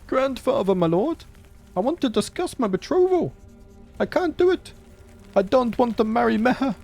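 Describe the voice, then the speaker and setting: A man's voice: very high-pitched, sing-song, normal speed, gravelly, darkish tone, faint music behind, voice pushed up, full character voice. A man voicing a young nobleman grandson who pleads stubbornly to get out of a marriage, in a raised voice. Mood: pleading.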